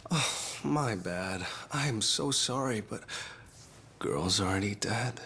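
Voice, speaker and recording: An actor playing a sadistic, mocking gangster villain in a video game cutscene, theatrical and falsely apologetic. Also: male voice, lowish voice, lively intonation, takes it slowly, vocal fry, sharp tone, slight background noise, full character voice. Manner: taunting, mock-apologetic, swinging from murmurs to exclamations. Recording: music under the voice.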